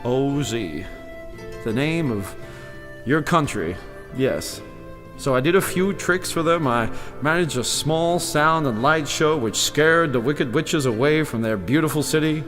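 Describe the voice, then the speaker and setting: Flustered, stammering old humbug wizard. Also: male voice, a little high, animated, unhurried. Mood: self-justifying. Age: older. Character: timid old humbug wizard, former circus balloonist from America. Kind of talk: full-cast fantasy audio drama acting. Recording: long reverb, music behind.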